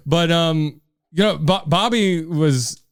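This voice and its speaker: A man's voice: fairly high pitch, clear, resonant tone, no echo. A man with a heavy Brooklyn accent hosting a casual podcast in a basement studio, talking loosely with lots of fillers. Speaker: podcast host. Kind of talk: casual chat. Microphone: studio microphone.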